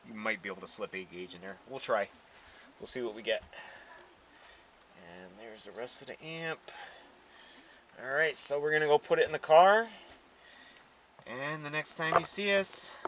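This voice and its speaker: A man's voice: mid-range voice, sharp tone. A man hosting a casual car audio unboxing, talking informally and thinking aloud, with some noise behind him. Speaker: host of a car audio channel.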